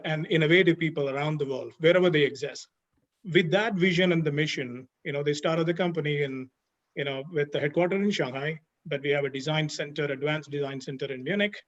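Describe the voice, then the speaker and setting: A middle-aged man speaks on a webinar panel over a video call, his voice sitting a little high for a man. He explains at length in a steady way, leaning on "you know" as he goes. The sound is dull and muffled.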